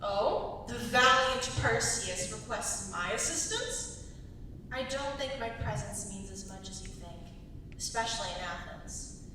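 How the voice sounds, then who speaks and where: A woman's voice: expressive, unhurried, lightly acted. A woman playing a self-assured, teasing young princess in a dramatic stage reading, with sardonic banter. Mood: wry. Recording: very echoey hall, distant mic, rumbly noise.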